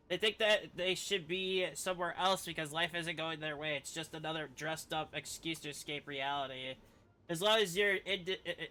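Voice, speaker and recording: A man's voice: fairly high pitch, sharp tone. A man delivering dialogue from a story-driven video game. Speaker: streamer reading game dialogue aloud.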